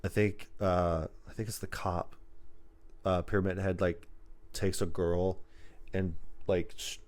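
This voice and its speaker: A man with a low voice talks casually, like someone co-hosting a podcast. He explains things in a loose, rambling way, stringing his thoughts together as he goes. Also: level tone, a little slow, a little mellow. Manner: rambling, filler-heavy, loosely strung explanations. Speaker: podcast co-host. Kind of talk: casual chat about video game movies.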